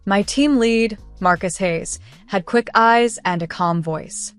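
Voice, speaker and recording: A woman narrates a scripted story in the first person. Her delivery is earnest and measured, with a literary storytelling manner and a middle-range pitch. The sound is close and dry, with soft music behind her. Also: clear, resonant tone, no echo.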